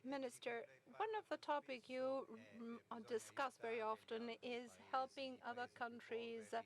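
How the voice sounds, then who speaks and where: A woman interpreting live at a press conference, speaking haltingly phrase by phrase. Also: a little high, steady tone. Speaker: simultaneous interpreter.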